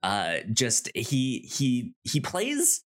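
Give the voice of a man with a mid-range pitch in a casual podcast discussion. He speaks haltingly, often doubling back on words and stammering before he gets them out. The delivery is conversational and unscripted. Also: wildly swooping pitch. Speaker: podcast co-host.

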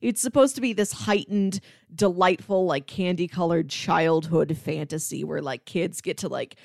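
A woman's voice: lowish voice, moderate pace, vocal fry, darkish tone, no room sound, studio quality. A woman hosting a casual film discussion, talking in an enthusiastic, analytical, rambling way full of "like". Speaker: film podcast host.

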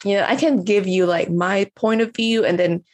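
A woman who grew up in Vietnam, chatting as a podcast guest. She rambles loosely, full of fillers and restarts. Her voice sits mid-pitch with a dry, close sound. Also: normal speed, a little mellow, dry recording. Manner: rambling, many fillers and restarts, laughs often. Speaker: guest, coach. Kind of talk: casual interview chat.

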